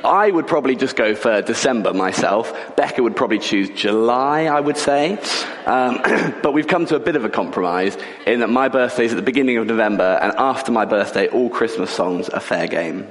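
A man preaching in a church, croaky from a cold and often clearing his throat. His chatty, joking sermon carries a long reverb from the hall around him. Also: mid-range voice, animated, fairly quick, hardly any pauses. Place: church building.

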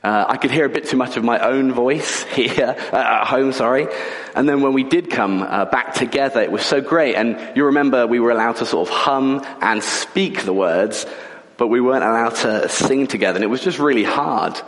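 A man preaching in a church, croaky from a cold and often clearing his throat. His chatty, joking sermon carries a long reverb from the hall around him. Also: mid-range voice, normal speed. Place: church building.

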